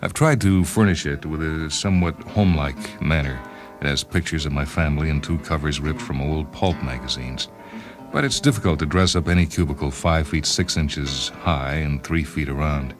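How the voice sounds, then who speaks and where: Very deep, deadpan disgruntled inventor, read by a man. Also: normal speed, over music. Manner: deadpan, wry, fussily verbose. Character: disgruntled, self-justifying inventor, a married time traveller. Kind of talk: first-person dramatised short-story reading.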